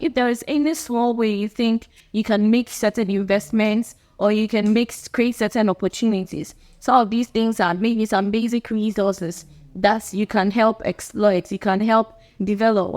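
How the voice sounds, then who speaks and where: A young woman from Ghana speaks with a Ghanaian accent in a middle-pitched voice. She hosts her own channel, talking earnestly and loosely to camera. She repeats her points and laughs lightly between them. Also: darkish tone. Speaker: channel host. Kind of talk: unscripted talk to camera.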